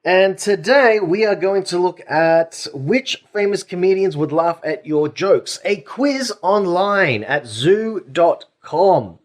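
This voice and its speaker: A man's voice: high-pitched, animated, slow pace, hardly any pauses. A man co-hosting a comedy podcast in casual chat, chatty and jokey with frequent restarts. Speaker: podcast co-host.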